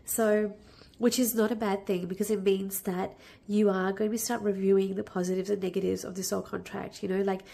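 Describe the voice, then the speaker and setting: Woman tarot reader, nasal and croaky from a cold, gravelly, gently reassuring. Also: mid-range voice. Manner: warm, reassuring, with many fillers and restarts. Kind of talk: casual spoken tarot reading.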